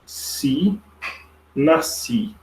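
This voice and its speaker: A Brazilian man with a creaky voice teaching a live online logic lesson step by step, informally, repeating himself and checking for agreement with tag questions. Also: a little high, lively intonation, very slow, darkish tone. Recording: roomy, echoey online class audio.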